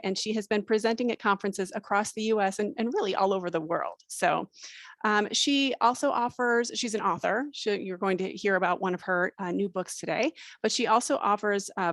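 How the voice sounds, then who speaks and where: An upbeat woman hosting a webinar, introducing the guest speaker over a video call. Her voice sits at a mid pitch and sounds dry and close, with no room echo. She talks with frequent ums and restarts. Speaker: webinar host.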